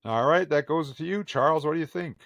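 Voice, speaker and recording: A man's voice: fairly high pitch, brisk, fairly bright tone, dry recording, dull audio. A man hosting a podcast, chatting casually and calling on each panelist in turn. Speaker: podcast host and moderator. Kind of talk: casual chat.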